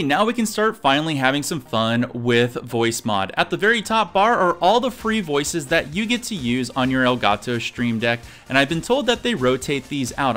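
An energetic man in his thirties presenting an upbeat scripted tech tutorial, addressing viewers directly. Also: fairly high pitch. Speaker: video host. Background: music.

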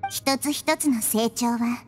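A woman voicing a gentle, wise, child-sized goddess in an anime-style game, speaking in a calm, measured and reflective manner. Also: a little high, faint music behind. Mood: wistful. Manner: measured, reflective, softly feminine speech.

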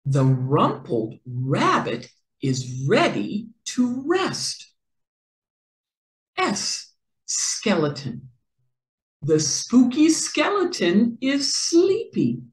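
A middle-aged woman reading a children's picture book aloud in an expressive, deliberate storytelling manner with careful enunciation. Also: medium pitch, echoey room. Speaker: read-aloud host for children.

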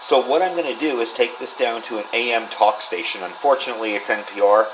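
A man's voice: medium pitch, fast, background noise. A middle-aged man with an American accent, giving an informal step-by-step product demonstration from behind the camera at a workbench. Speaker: radio gear reviewer, video host. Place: indoors at a workbench in a home workshop.

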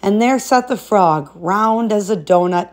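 Female storybook narrator for a fairy-tale read-aloud, expressive, mid-range voice. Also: mid-range voice, unhurried.

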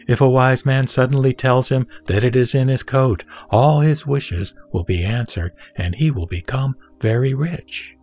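A man gives a scripted, dramatic reading of scripture in a measured, solemn, instructive manner. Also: medium pitch, a little mellow. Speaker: narrator and reader of scripture. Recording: background music behind the voice.

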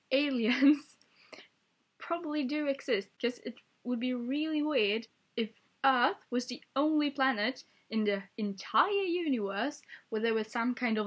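A young woman whose first language is Swedish, chatting playfully in her bedroom into a webcam and laughing often. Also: a little high. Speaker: vlogger. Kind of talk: casual tag video chat. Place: small bedroom, indoors. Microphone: webcam at desk.

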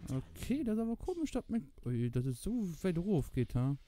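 A man with a Luxembourgish accent giving casual gameplay commentary, excitable and repeating words in quick bursts. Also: a little high, slight background noise. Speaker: gamer commentating own play.

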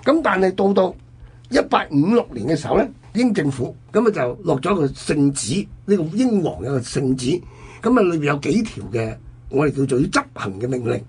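A man's voice: a little high, sing-song, a little fast, breathy edge. A man with a Hong Kong Cantonese accent telling history conversationally as a radio host, in an explanatory manner.

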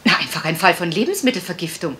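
An actress playing a self-possessed, dry and cutting gentlewoman in a German radio crime drama. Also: lowish voice, expressive, fast. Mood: cool, dry.

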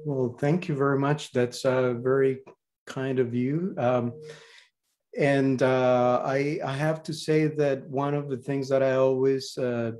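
A gracious, measured man answers on a video call. He is a professor, and English is not his first language. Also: medium pitch, level tone, a little mellow. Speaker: guest speaker, professor. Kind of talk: webinar answer.

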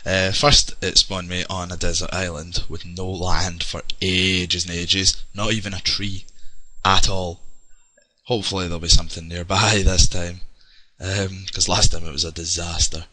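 A man's voice: low voice, flat delivery, normal speed, sharp tone, no room sound, rough recording. A young Scottish man chatting casually with lots of ums while narrating his gameplay. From Scotland. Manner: rambling, chatty, many ums. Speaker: let's play gamer. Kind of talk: casual game commentary.

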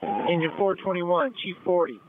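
Male firefighter with high-pitched voice, dispatch calls, thin lo-fi digital radio. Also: animated, normal speed. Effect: two-way radio. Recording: narrow, lo-fi digital radio link.

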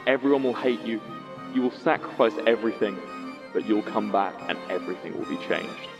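A man giving a dramatic roleplay monologue in a deliberate, emphatic, speech-making manner. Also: fairly low pitch, muffled recording, music in the background.

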